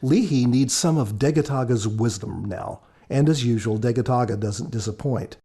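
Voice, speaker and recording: A man with a husky voice, an author giving earnest, measured, reflective commentary on a story. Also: medium pitch, mellow. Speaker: author and podcast guest commentator.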